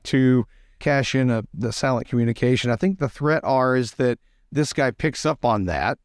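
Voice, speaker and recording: A man's voice: mid-range voice, normal speed, no echo, clean studio sound. A man talking casually as a player in a tabletop roleplaying game.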